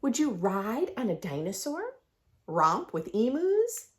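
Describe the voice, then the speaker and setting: A woman reading a picture book aloud for young children in an expressive, sing-song storytelling manner, adding her own asides. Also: fairly high pitch, slow. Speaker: children's read-aloud host.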